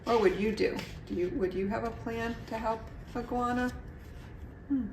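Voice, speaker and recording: A woman's voice: a little high, normal speed, darkish tone, far from the mic. A middle-aged woman reading a children's story aloud expressively, as a storyteller. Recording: echoey room, faint background noise.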